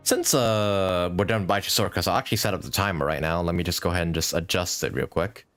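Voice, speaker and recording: A man's voice: sits low, quick pace, a bit bright, faint music behind. A man doing casual live gameplay commentary, thinking aloud informally and repeating short phrases. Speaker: game livestreamer.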